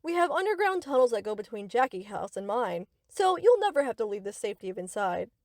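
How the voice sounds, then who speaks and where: A woman's voice: on the high side, sing-song, brisk, a little mellow, no echo, clean studio sound. A woman playing a young yandere captor in a scripted roleplay, speaking to the listener with cutesy, rambling, possessive affection. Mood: sweetly possessive. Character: sweet, obsessive yandere best friend, young woman captor.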